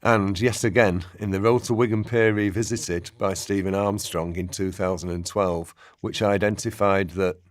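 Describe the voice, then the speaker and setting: A man's voice: on the low side, fairly even intonation, moderate pace, few pauses. A man narrating a scripted, documentary-style radio play.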